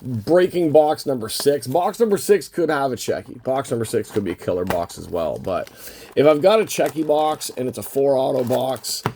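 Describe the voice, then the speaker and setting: A man with a voice worn hoarse by a cold, hosting a casual, unscripted card box opening and rambling as he thinks aloud. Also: mid-range voice, animated, normal speed, dark tone, a little hiss or hum. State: losing his voice from a lingering cold. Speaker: host of a trading card box-break channel.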